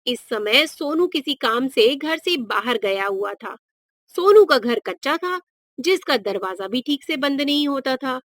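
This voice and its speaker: A woman's voice: high-pitched, expressive, unhurried, fairly bright tone, no room sound, studio quality. A woman narrating a scripted moral story for children as a storyteller.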